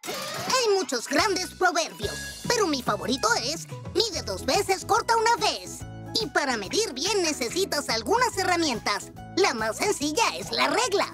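An actor voicing an earnest young boy, a tiny cartoon creature, with an expressive delivery in an animated dub. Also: very high-pitched, measured pace, music in the background, heavily acted. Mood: explanatory.

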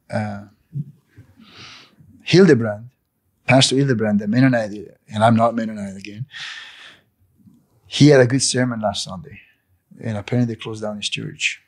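A man's voice: fairly low pitch, normal speed, long pauses. A man in his sixties whose first language is not English, speaking emphatically and preachily in an interview in a living room into a handheld mic. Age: 60s. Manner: preachy, emphatic, gestures with hands. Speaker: cafe owner interviewed. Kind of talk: impassioned interview monologue. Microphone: handheld fluffy interview mic.

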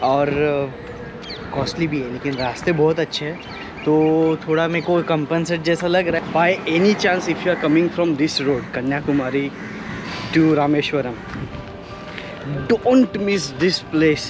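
A young man vlogging on a road trip, talking excitedly to a handheld camera outdoors and from a car. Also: a little high, slow, music in the background. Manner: excited, chatty, mixing Hindi and English. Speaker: travel vlogger. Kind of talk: casual on-camera travel chat. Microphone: handheld camera at arm's length.

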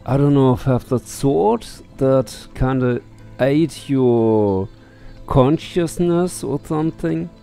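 Middle-aged man, game streamer, gravelly, unhurried, mellow, reading text and commenting, music behind. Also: medium pitch. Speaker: let's play streamer. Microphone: headset.

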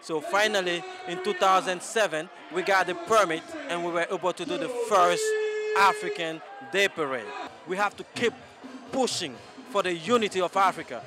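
Man, thirties, event organizer in interview, raised pitch, busy outdoor crowd. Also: normal speed. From Côte d'Ivoire. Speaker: parade organizer. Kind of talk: interview answers. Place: outdoor park amphitheater. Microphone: handheld interview microphone. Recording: crowd noise behind.

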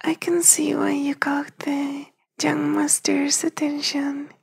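A man playing a polite, loyal household servant in an ASMR roleplay, formal, deferential and hushed, half whispering. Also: extremely high pitch, completely flat delivery, slow, creaky, heavily acted. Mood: deferential, discreet.

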